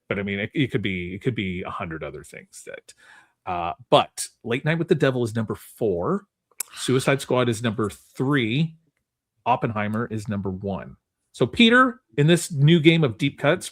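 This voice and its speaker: Male podcast host, casual game-show chat, very lively intonation, mid-range pitch.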